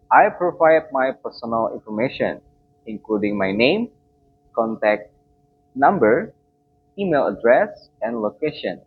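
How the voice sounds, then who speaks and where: A young man whose first language is Indonesian, giving a formal class presentation in a careful, measured way. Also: mid-range voice, narrow, tinny audio, a little hiss or hum. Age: young adult. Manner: slow, careful, formal delivery. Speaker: student presenter.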